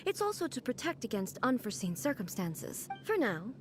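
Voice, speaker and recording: Solemn goddess with a highish voice. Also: female voice, sing-song, faint music behind. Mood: calm, matter-of-fact. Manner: measured, formal, stately. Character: solemn, aloof female deity, stubborn and formal. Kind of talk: anime game voice acting.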